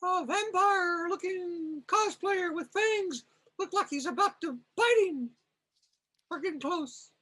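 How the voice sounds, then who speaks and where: A woman with a very high voice describes scenes in a slow, improvised fashion. She sounds wry and amused, casual in her narration. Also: a bit bright. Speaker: narrator of a comic reading.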